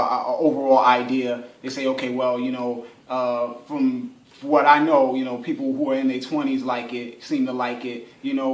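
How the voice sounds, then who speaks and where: A man's voice: medium pitch, a bit bright. A man giving an informal workshop explanation as an instructor, conversational, repeating phrases for emphasis and acting out hypothetical examples. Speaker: workshop instructor, advertising specialist. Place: indoor room at a radio station. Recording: roomy, echoey workshop recording.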